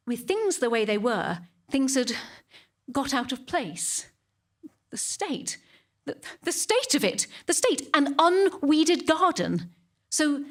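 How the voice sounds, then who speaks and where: Actress as an indignant churchgoing British woman, swooping pitch. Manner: indignant, halting, repeats phrases for emphasis. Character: devout, defensive, vindictive churchgoing woman, hiding her guilt. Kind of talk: dramatic monologue to a police officer.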